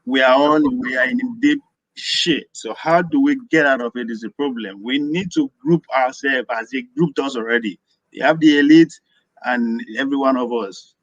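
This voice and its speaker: A man's voice: mid-range voice, normal speed, no echo, highs cut off. A Nigerian man calling in to a live audio discussion, arguing in a rambling way that often repeats words. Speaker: caller.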